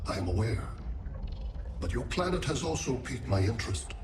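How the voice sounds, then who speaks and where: A man playing a cold, haughty alien machine intellect in a video game, speaking in measured, formal phrases. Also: medium pitch, big pitch swings, heavy background noise, natural voice. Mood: cold, superior. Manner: measured, pompous, formal and deliberate. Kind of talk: video game cutscene acting. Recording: echoing voice under loud music.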